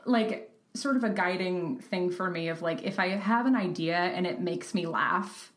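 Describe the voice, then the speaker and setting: A woman's voice: fairly low pitch, moderate pace. A woman, chatting casually as a guest on a podcast about her creative work. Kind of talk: casual interview chat. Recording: roomy echo, background room noise.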